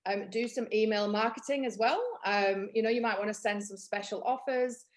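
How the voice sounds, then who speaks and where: A woman's voice: medium pitch, fairly even intonation, moderate pace. A woman from Leeds with a Yorkshire accent, running a marketing workshop on a webinar in a chatty, practical way, with frequent ums and you-knows. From Leeds, England. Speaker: marketing trainer.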